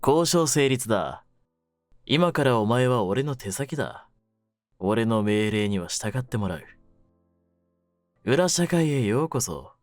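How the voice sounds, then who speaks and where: A man playing a jaded, domineering yet secretly tender young mafia kidnapper in an audio drama, speaking low in a rough, cool, deliberate way. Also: sing-song, no echo, studio quality, soft background music. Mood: resolved, dark. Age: young adult. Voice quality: low-pitched. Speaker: voice actor in a situation voice drama. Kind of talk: Japanese situation-voice audio drama addressed to the listener.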